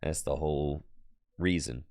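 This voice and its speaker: A man's voice: rumbling low, unhurried, gravelly, a little mellow, dry recording, clean studio sound. A man streaming a card game, losing his voice to allergies, muttering his plays aloud and chatting with viewers. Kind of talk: casual live-stream game commentary.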